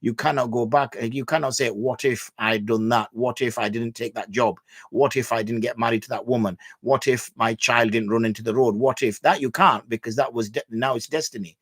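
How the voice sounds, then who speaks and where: A male religious scholar giving answers at a patient, measured pace, building his points with plain examples. His voice sits at an ordinary middle pitch. The sound is close and dry, with no room echo. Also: steady tone, slightly rough. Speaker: Islamic scholar answering viewer questions. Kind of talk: religious Q&A explanation.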